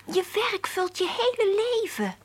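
An actress playing a spirited, matter-of-fact schoolgirl in a radio drama, chatting frankly in a breathy voice. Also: very high voice, animated, unhurried. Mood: indignant. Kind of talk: conversational radio drama acting.

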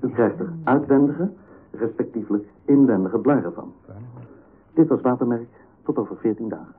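A man's voice: mid-range voice, lively intonation, moderate pace, husky. An actor playing a formal, condescending male television presenter in a radio comedy, speaking pompously. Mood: solemn warning. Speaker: TV programme presenter. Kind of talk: scripted radio comedy acting. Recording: narrow-band old radio recording.

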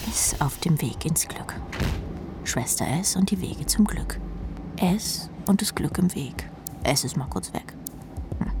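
An actress playing a wry, paranoid young rock drummer on the run in a radio play monologue, half-whispering to herself. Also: female voice, low voice, gravelly.

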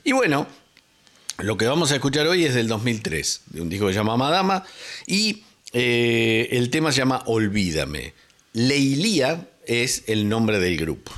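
A man's voice: mid-range voice, sing-song, unhurried, fairly bright tone. A man co-hosting a radio music show, chatting casually and thinking aloud with many fillers. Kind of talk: casual on-air chat about music.

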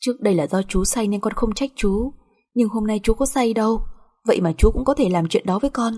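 A woman's voice: medium pitch, fairly quick, warm tone, slightly rough, lightly acted. A woman voicing a timid young wife and mother, deferential and emotional, in an audio drama. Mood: hurt, accusing.

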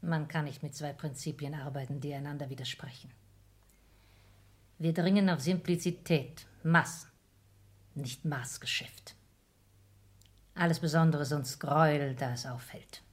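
A cold and ambitious heiress to an industrial empire in a radio play. Her voice sits very low for a woman. She speaks with cool, precise, formal German diction, as a hard woman of business. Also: a little slow, long pauses, breathy edge. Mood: cold, clipped. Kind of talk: German radio drama acting.

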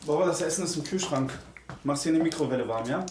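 Man acting a brisk, fast-talking policeman. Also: on the high side, lively intonation, darkish tone, lightly acted. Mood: patient, hurried. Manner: brisk, matter-of-fact. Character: patient, dutiful male police officer and caring son. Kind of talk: naturalistic radio drama acting. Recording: echoey, very noisy location sound.

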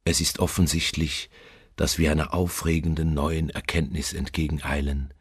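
An actor playing a haunted, doomed seafarer in a literary radio drama, speaking in a slow, brooding, solemn manner with a husky, gravelly voice. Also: male voice, level tone, dry recording, studio quality. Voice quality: very deep, gravelly, husky, at times near whisper. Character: haunted male seafarer recounting his doom aboard a ghost ship.

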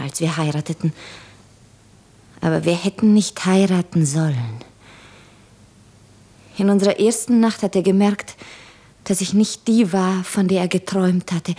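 A woman's voice: mid-range voice, dark tone. An actress in a German radio play, playing an anguished, devoted woman in love who is broken by war, speaking in a lyrical, impassioned way. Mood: wistful, pained. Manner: impassioned, lyrical, dropping into breathy whispers.

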